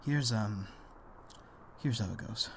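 A man playing a gentle, self-deprecating father in an ASMR roleplay, speaking softly and hesitantly to comfort his grown daughter. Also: lowish voice, slow, a little hiss or hum. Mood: bashful. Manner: hesitant, soft, soothing, with small chuckles. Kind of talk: intimate ASMR audio roleplay.

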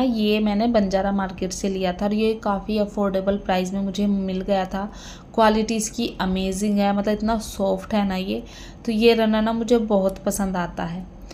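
A woman in her thirties narrating her day at home in a relaxed, chatty voice-over, with many fillers and tag questions. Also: mid-range voice, slight background noise. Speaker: vlogger.

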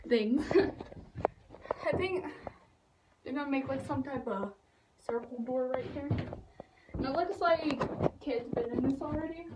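A woman's voice: sits high, measured pace, pauses often. A teenage woman vlogging casually to her phone at arm's length, rambling and giggling with lots of fillers, outdoors by a treehouse. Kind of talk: casual vlog talk to camera. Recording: noisy phone audio outdoors.

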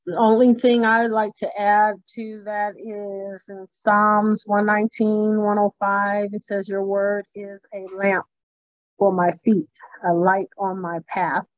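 A woman's voice: medium pitch, level tone, slow pace, no echo. A young woman in a church group, thoughtfully adding a scripture reflection on a video call. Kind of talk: casual devotional sharing. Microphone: video call, phone camera. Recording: dry, slightly muffled call audio.

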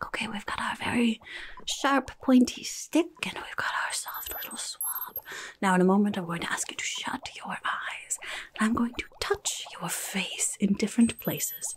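Goblin nurse for ASMR roleplay, female performer: mostly whispered, blunt, fussy and erratic, very close to the mic. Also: medium pitch. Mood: brisk, bossy. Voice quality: breathy whisper. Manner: fussy, blunt, repeats words. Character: rude, chaotic goblin nurse examining a patient. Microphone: close microphone.

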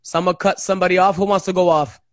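Male livestream host, high voice, exuberant, praise-filled casual talk and prayer, dry recording. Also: steady tone, very fast, no room sound.

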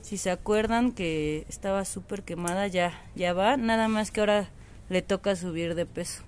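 A woman's voice: mid-range voice, normal speed, slight background noise. A woman, chatting to viewers from an outdoor patio on a phone camera. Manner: chatty and affectionate, talks to viewers. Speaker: animal rescue vlogger. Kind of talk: casual narration on camera.

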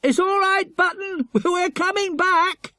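A storyteller voicing Philip, an excited, adventurous schoolboy who talks eagerly in exclamations. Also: medium pitch, normal speed, dry recording, clean studio sound, voice pushed up, close to an everyday voice. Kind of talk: audiobook character reading.

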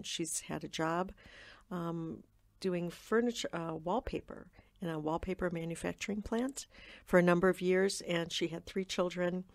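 Gravelly-voiced woman telling a family story. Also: fairly low pitch, dry recording. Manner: warm, laughing anecdotes. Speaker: podcast guest. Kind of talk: casual storytelling.

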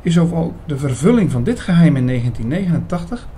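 Guy acting as attentive investigator telling his own story in a radio play, soft mellow voice, bit of background noise. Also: on the high side, very animated, fast. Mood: engaged, appreciative. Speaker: narrator and lead actor in an audio drama. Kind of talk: scripted radio drama narration.

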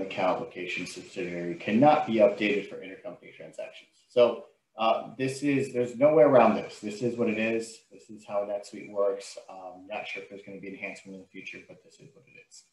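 A man giving an informal, step-by-step software tutorial as a trainer, explaining what he does on screen. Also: fairly low pitch, normal speed. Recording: roomy, echoing sound.

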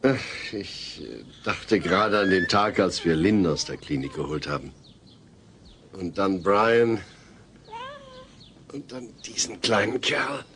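An actor playing a gentle, patient middle-aged father in a German sitcom audio drama. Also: low voice, animated, slow pace, pauses often, a little hiss or hum, full character voice. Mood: earnest, happy.

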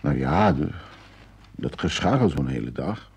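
Deep, creaky, evasive husband. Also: male voice, expressive, a little mellow, highs cut off, exaggerated voice. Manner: hesitant, halting. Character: evasive, uneasy husband, a homebody. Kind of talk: Dutch radio play acting. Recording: noisy old radio play recording.

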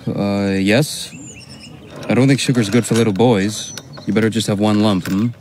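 An actor playing a courteous young American gentleman in a radio drama, polite, a little hesitant and gently teasing. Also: on the low side, steady tone, moderate pace, a little hiss or hum, close to an everyday voice. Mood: amused, teasing.

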